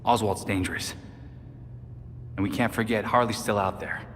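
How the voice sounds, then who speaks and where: A man's voice: on the low side, normal speed, a bit bright, close to an everyday voice. An actor voicing a blunt, cautious young male vigilante. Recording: cutscene with reverb and noise.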